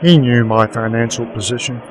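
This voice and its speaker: A man playing a weary, wry private investigator who narrates a noir radio play in deadpan style. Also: medium pitch, fairly quick, lightly acted, rough recording. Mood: wry, resigned. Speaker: narrator and protagonist.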